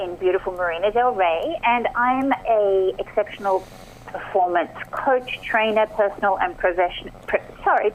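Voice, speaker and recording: A woman's voice: medium pitch, slight background noise. Australian woman on a tinny phone line. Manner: chatty, self-correcting. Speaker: guest. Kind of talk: phone interview. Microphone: telephone.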